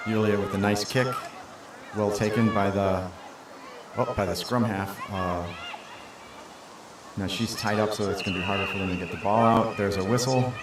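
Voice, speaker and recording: An American man giving live play-by-play commentary on an amateur match, explaining the rules as he goes. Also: lowish voice. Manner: explains rules to newcomers, fillers and restarts. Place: outdoors at a sports field. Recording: echoey outdoor sound from far off with loud noise.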